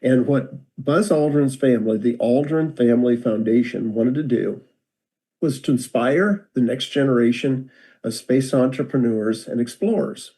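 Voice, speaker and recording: A man's voice: mid-range voice, normal speed. An older American man giving a rambling, self-deprecating storytelling talk over a video call. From United States. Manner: relaxed, rambling, self-deprecating with many restarts. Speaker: guest speaker.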